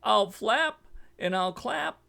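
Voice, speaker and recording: Man doing a high, screechy old greedy eagle. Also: expressive, slow pace, gravelly, heavily acted. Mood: menacing. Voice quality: screechy, squawking. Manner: loud, chanting threats. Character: big old greedy male eagle, menacing predator.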